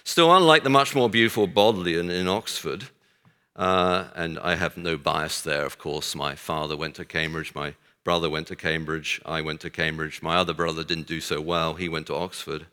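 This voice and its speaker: A man's voice: rumbling low, normal speed, pushed and bright, clean tone. Texan man speaking in a reverberant hall. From Texas. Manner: dry self-deprecating humor, frequent hesitations. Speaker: guest speaker. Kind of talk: speech to an audience.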